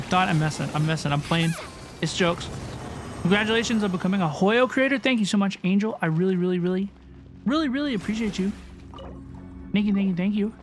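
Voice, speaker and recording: Woman streamer chatting casually over music. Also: medium pitch. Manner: chatty, repeats phrases for emphasis. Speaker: livestream host. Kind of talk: casual livestream gaming chat. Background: game music.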